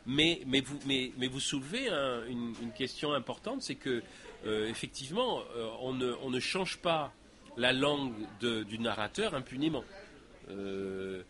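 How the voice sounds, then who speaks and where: Male lecture guest, native French speaker, middle pitch, halting and reflective Q&A delivery. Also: expressive, sharp tone. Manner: hesitant, many fillers and restarts. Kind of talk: lecture Q&A answers. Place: lecture hall.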